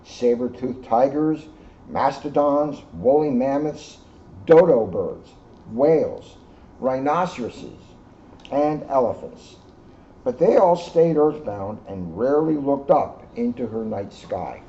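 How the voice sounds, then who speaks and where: Man reading a storybook, soft mellow tone. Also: mid-range voice, lively intonation, takes it slowly. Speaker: police officer reading a storybook aloud. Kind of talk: children's storybook read-aloud with casual asides. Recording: dull lo-fi sound, faint background noise.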